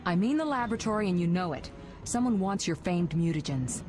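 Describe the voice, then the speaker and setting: An actress playing an impatient, assertive sorceress in a fantasy video game, speaking bluntly. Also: female voice, lowish voice, expressive, a little fast, music in the background, lightly acted. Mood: insistent. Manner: blunt, reproachful.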